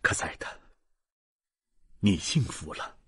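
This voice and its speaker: A man voicing a sorrowful, resigned old father in an audiobook, husky and breathy, speaking haltingly as if muttering to himself. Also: pushed and bright, in a whisper. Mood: sorrowful resignation. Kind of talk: audiobook character reading.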